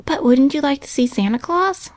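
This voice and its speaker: Woman voicing an eager high-pitched little girl. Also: animated, moderate pace, darkish tone, no room sound. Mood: excited coaxing. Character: eager, wheedling little girl, sweet and bold. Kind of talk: storybook character voice.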